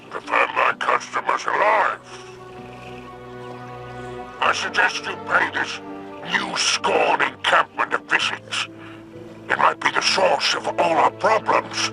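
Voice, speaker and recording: A greedy alien crime lord in a video game, thick with gravelly creak and swooping wildly in pitch. He is oily, mocking and theatrical, savoring each slow phrase. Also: sing-song, music in the background, exaggerated voice, poor audio. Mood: sly salesmanship. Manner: theatrical, unctuous, drawn-out phrasing. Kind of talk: scripted video game dialogue.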